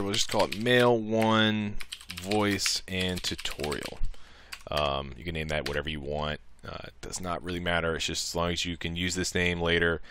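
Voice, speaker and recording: A man giving a casual, improvised software tutorial, talking through each click as he goes. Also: deep voice, slight background noise. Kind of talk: casual step-by-step screen tutorial.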